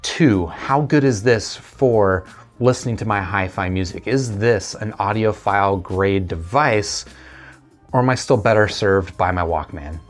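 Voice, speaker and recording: A man's voice: fairly low pitch, measured pace, faint music behind. A man chatting casually through a tech review and unboxing, conversational and thinking aloud as he goes.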